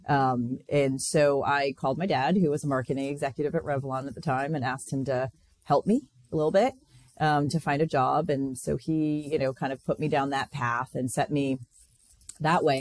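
A woman's voice: extremely low pitch, a little mellow, no echo. A middle-aged woman from Connecticut, a company president, speaking as a podcast guest and telling her story in a friendly, earnest way with frequent ums and restarts. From Connecticut, USA. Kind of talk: casual interview storytelling.

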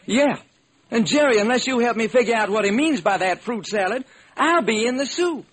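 Radio detective drama: folksy, baffled police lieutenant, high husky voice, earnest and slangy. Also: male voice, expressive, normal speed, a bit bright, heavily acted. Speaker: actor. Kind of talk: old-time radio detective drama acting. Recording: noisy vintage radio recording.

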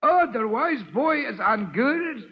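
A man's voice: very high voice, expressive, takes it slowly, slightly rough, in a large hall, dull audio, heavily acted. An actor playing an earnest male school janitor who speaks in broken English, on an old radio drama recording.

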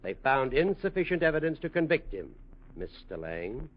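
An actor playing a stern, disapproving male judge in a 1940s radio drama, formal, measured and admonishing. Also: medium pitch, sing-song. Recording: dull old radio recording.

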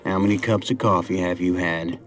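A man's voice: low-pitched, fairly even intonation, fairly quick, faint music behind, close to an everyday voice. A man playing a no-nonsense, easily exasperated federal agent in an audio drama, with a terse, dry delivery.

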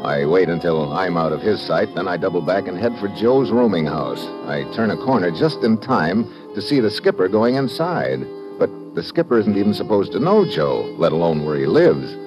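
A man's voice: low voice, sing-song, normal speed, hardly any pauses, music in the background. A man playing a cool, wry hardboiled investigator with clipped delivery in an old radio drama. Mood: tense narration. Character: hardboiled male investigator narrating his own adventure, cool, wry. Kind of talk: 1940s-50s radio drama narration and acting. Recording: muffled old radio recording, musical accompaniment.

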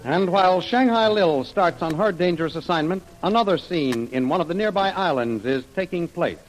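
A man announces a 1940s-style radio adventure serial. His voice is pitched high for a man. The delivery is declamatory and dramatic, on an old radio recording. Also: expressive, moderate pace, music in the background, poor audio. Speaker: announcer and narrator.

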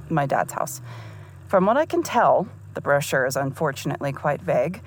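A woman's voice: lowish voice, slight background noise. A woman playing a chatty, amused scam-debunking podcast host in an audio drama, talking casually.

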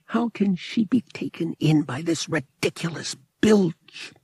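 A man's voice: a little high, animated, a little slow, vocal fry, a little mellow, slightly rough, no echo, clean studio sound, pitched above the performer's own voice, exaggerated voice. A man voicing a roguish young male pilot in an audiobook, casual and coaxing, with a sceptical streak. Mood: sceptical, private. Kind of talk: audiobook character acting.

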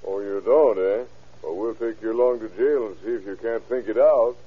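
A low, husky American man's voice on a muffled old radio recording. a shrewd lawman, dry and persistent in his questioning, in classic radio crime drama style. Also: normal speed. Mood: pointed.

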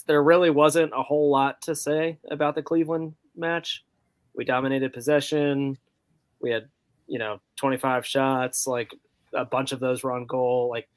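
An American man co-hosting a soccer podcast, chatting informally as he gives his take on matches. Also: mid-range voice. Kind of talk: casual chat, match analysis.

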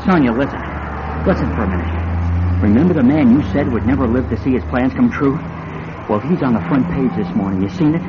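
An actor playing a brash, showy, smooth-talking nightclub owner in a radio drama. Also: male voice, medium pitch, animated, a little fast, natural voice. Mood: eager, pushy. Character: brash, hustling nightclub owner and showman, adult man. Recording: dull radio recording with crowd noise.

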